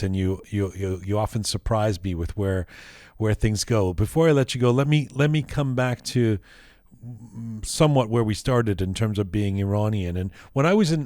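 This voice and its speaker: A man's voice: fairly low pitch, a little mellow, no room sound, clean studio sound. A man hosting an interview, friendly and teasing, often repeating words as he restarts. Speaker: podcast host, interviewer. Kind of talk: conversational interview.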